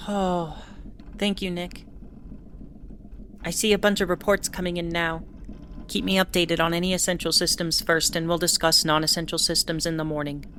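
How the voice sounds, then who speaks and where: A woman voicing a steady, dutiful starship captain in an audio drama, talking in a measured, composed way. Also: on the low side, slight background noise, lightly acted. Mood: businesslike. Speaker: ship captain.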